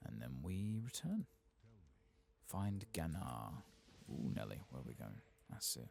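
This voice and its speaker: A soft-spoken man murmuring unhurried ASMR commentary to himself as he plays through a video game. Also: rumbling low, very animated, creaky. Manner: murmured, unhurried, talking to himself while playing. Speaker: gaming channel host. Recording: faint background noise.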